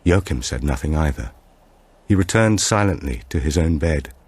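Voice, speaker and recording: Low, husky male thriller narrator. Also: animated, darkish tone, dry recording. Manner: measured, suspenseful storytelling. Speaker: audiobook narrator. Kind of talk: dramatic scripted narration of a thriller.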